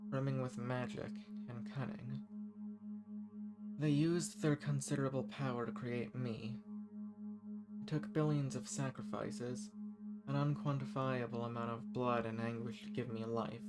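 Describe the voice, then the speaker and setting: Guy doing an ancient dangerous villain, flirty n wistful, kinda posh wording, medium pitch, intimate roleplay audio, music really loud behind. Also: steady tone, a little slow, close to an everyday voice. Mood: calm, menacing. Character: ancient sealed villain, living weapon, flirty, dangerous, softened.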